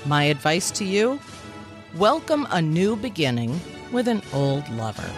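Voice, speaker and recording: Woman in her 50s doing a pretend commencement speech, slow n deliberate, music playing under it. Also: low voice, sing-song. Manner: measured, deliberate delivery with emphatic pauses. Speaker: podcast host.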